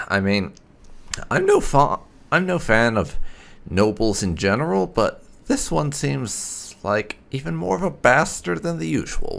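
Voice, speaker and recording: A man's voice: mid-range voice, vocal fry, a bit bright. A man doing casual let's play commentary as a gaming YouTuber, laid-back and musing, with blunt slang.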